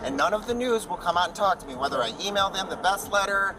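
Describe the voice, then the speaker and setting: Agitated man shouting on a street. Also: high-pitched, lively intonation, fast talker. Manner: agitated, rambling, talks over others. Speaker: arrested member of the public. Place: outdoors by a building on a street. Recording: distant bodycam with street noise.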